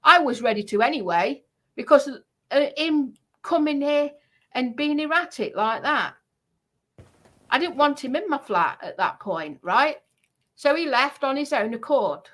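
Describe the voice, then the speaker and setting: A woman's voice: sits high, expressive, measured pace, pushed and bright. A woman giving a heated personal account on a livestream, speaking in an indignant, emphatic way and repeating herself for stress.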